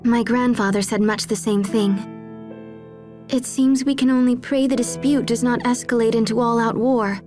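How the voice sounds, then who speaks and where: Woman voicing a high-pitched, earnest young noblewoman. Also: fairly even intonation, normal speed, darkish tone, soft background music, natural voice. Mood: solemn, formal. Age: young adult. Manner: formal, courteous diction. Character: polite, earnest young noblewoman pleading for her embattled homeland. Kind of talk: English video game voice acting.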